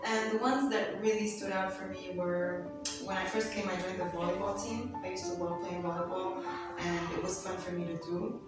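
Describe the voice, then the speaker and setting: A woman's voice: lowish voice, fairly even intonation, normal speed. A young woman, a student whose first language is Arabic, chatting casually as an interview guest with many fillers. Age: young adult. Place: school set. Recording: echoey room, mic far off, music behind.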